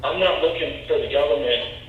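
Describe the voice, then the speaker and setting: A man's voice: fairly high pitch, brisk. A man calling in to a talk show, talking in an earnest, reflective way. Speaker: caller. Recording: dull, echoey, distant clip.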